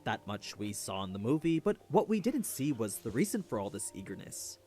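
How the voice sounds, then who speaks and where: A man's voice: on the low side, sing-song, brisk, darkish tone. A man delivers scripted explanatory narration as the narrator of a lore video. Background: background music.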